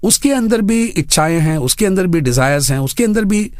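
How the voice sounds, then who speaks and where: Animated higher-voiced man, earnest interview guest. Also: brisk, no echo, studio quality. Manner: earnest, makes enumerated points. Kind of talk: radio interview, advocacy and advice.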